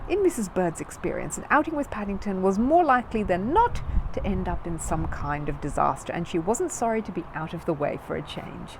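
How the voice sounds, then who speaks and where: Low-pitched, animated woman reading a story. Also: fairly quick, noisy background. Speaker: storyteller reading a children's book aloud. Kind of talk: scripted narration, children's audiobook.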